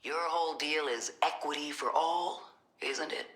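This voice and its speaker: An actress playing a commanding middle-aged government director in a video game, measured and coolly manipulative. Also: female voice, sits low, a bit bright, reverberant. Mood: firm, controlled. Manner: measured, authoritative.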